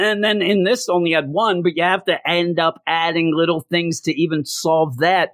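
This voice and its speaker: A hoarse man reviewing a comic book, ranting in exasperation and repeating his complaints for emphasis. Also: on the high side, a bit bright, dry recording, studio quality.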